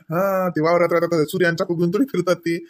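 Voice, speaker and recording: A man's voice: high-pitched, level tone, fairly quick, lightly acted. A man voicing a teasing, affectionate young husband in a comedy cartoon, chatting in a rural Marathi accent. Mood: teasing, fond.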